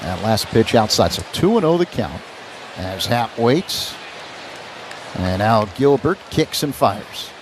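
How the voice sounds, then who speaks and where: A man's voice: fairly low pitch, very animated, slow. An American man calling a baseball game live on radio as play-by-play announcer, in clipped phrases that follow the action. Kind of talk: live radio sports commentary. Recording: crowd ambience noise behind broadcast. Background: ballpark ambience noise.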